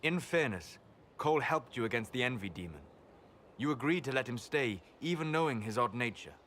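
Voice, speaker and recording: Casting: male actor as scholarly game mage, composed, explains carefully in exact words, ordinary male pitch. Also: expressive, fairly bright tone, a little hiss or hum, close to an everyday voice. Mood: measured, earnest. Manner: measured, precise, explanatory. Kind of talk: video game dialogue acting.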